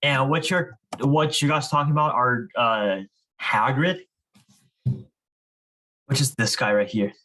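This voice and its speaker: A man's voice: mid-range voice, slow, long pauses, sharp tone. Informal male online reading club leader. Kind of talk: casual online teaching. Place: online group video call.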